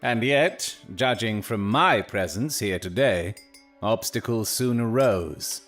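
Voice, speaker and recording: An actor playing an incisive, courteous Victorian gentleman detective, formal and probing, in an audio drama. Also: fairly low pitch, animated, slow pace, faint music behind. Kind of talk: period audio drama acting.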